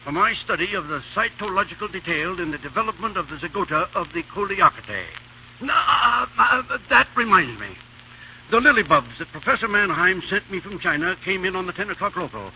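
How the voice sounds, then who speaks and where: An actor playing an absent-minded elderly professor who speaks formally and hesitantly, in a 1930s radio drama. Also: male voice, high voice, a little hiss or hum. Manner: hesitant, vague, full of "uh"s, formal speech. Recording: dull old radio broadcast recording.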